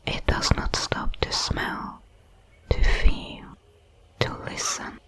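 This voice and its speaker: A woman voicing a detached, curious alien creature in a sci-fi ASMR roleplay, whispering in slow, deliberate, clinical phrases. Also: a bit bright. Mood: curious, measured. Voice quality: breathy whisper.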